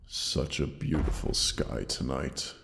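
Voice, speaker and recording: A man voicing a huge old dying dragon, gentle and weary, speaking slowly and solemnly in a roleplay audio drama. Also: noisy background, exaggerated voice. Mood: wistful. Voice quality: deep gravelly, breathy sighs. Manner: slow, solemn, halting, tender endearments. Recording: long cavernous reverb.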